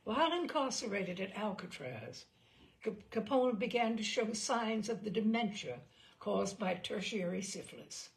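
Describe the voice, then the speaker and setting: A woman reading a scripted history narration aloud as a storyteller, with measured, deliberate phrasing. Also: medium pitch, expressive, gravelly. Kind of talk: scripted narration of true crime history, with occasional asides.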